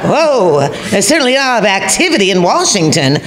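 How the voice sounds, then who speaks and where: A woman's voice: mid-range voice, sing-song, brisk, lightly acted. An older woman acts out a bossy, fussing wife in a radio comedy. Her delivery swoops up and down theatrically as she nags. The sound is echoey and roomy. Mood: chatty, needling. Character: nagging, vain, oblivious chatterbox wife of a grumpy husband. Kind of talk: old-time radio comedy sketch acting.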